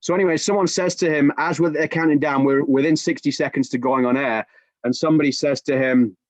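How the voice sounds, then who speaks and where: A man in his forties, a soccer commentator, telling an animated story with many restarts on a video-call podcast. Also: a little high, fairly quick, no echo. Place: home room with bookshelves. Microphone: video call webcam. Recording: dull, dry video-call audio.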